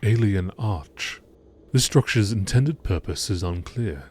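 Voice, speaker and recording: A man reading scripted lore in a measured, formal documentary narration style. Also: on the low side, expressive, darkish tone, breathy edge.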